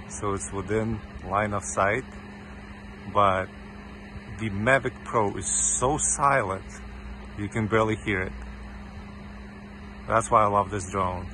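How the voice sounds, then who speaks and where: A man's voice: lowish voice, noisy background. A man with a Ukrainian accent, losing his voice, chatting casually in a vlog from outdoors in a parking lot, thinking aloud with lots of fillers. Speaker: drone hobbyist vlogger.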